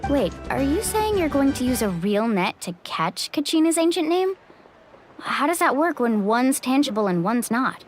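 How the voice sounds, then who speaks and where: Lively, earnest young heroine. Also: female voice, high voice, moderate pace, husky, soft background music, heavily acted. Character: earnest, determined young female traveling adventurer. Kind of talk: video game voice acting.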